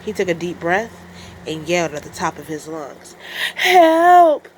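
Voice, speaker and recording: A woman storyteller narrating a children's story in an expressive, deliberate read-aloud style. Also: low-pitched, a little hiss or hum, poor audio.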